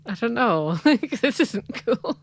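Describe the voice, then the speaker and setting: A woman's voice: on the high side, sing-song, takes it slowly. A woman from Scotland with a Scottish accent, chatting casually as a podcast co-host, rambling with lots of "like" and laughing often. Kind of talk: casual chat.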